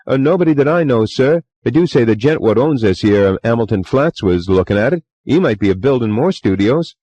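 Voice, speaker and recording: A man's voice: mid-range voice, lively intonation, brisk, warm tone, dry recording, highs cut off, pitched above the performer's own voice, natural voice. Man doing a jittery Cockney bellboy. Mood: agitated. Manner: rough dialect speech, deferential, many "sir"s. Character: young Cockney male bellboy, nervous and chatty.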